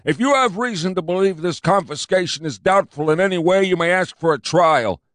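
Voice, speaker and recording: A man's voice: high-pitched, lively intonation, fairly quick, a bit bright, no echo, studio quality, voice pushed up. A man voicing an immensely fat, officious police commander who talks in a pompous, overbearing way, in an audiobook reading.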